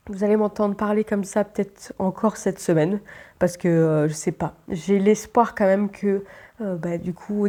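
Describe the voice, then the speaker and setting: A woman's voice: mid-range voice, dark tone. A woman book vlogger with a stuffy, nasal voice from a cold, chatting casually to her viewers with many fillers. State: congested, stuffy nose from a cold. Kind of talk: casual chat to camera.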